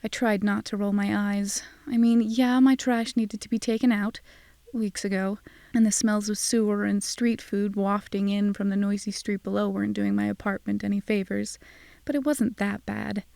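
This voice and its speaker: A woman's voice: medium pitch, fairly even intonation, normal speed, creaky, warm tone, dry recording. A woman narrating a scripted first-person audio drama in a wry, sardonic manner.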